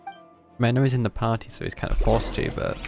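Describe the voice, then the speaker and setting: Very deep-voiced woman streaming a game. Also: flat delivery, fast, creaky, over music. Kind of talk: casual live gameplay commentary. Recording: loud game audio over stream mic.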